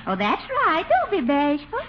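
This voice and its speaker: An actress playing a gushing, flirtatious belle with a syrupy manner, in a 1940s radio sitcom. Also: female voice, sits high, huge pitch swings, normal speed, slight background noise. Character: gushing flirtatious Southern belle, adult woman. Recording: dull vintage radio recording.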